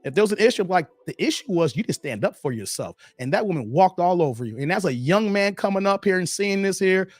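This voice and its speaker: Hoarse slangy man with a high voice. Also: expressive, fast talker, hardly any pauses, no echo. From Chicago area, Illinois. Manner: slangy, chatty, greets viewers by name. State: hoarse, losing his voice. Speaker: livestream host. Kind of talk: casual livestream commentary.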